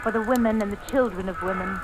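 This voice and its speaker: An actress as a devoted, solemn village woman from an old radio play. She speaks formally and with emphasis, every phrase weighted. The old broadcast recording is clearly noisy. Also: mid-range voice, close to an everyday voice. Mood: solemn, fervent. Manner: slow, formal, emphatic delivery. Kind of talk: 1950s radio drama acting.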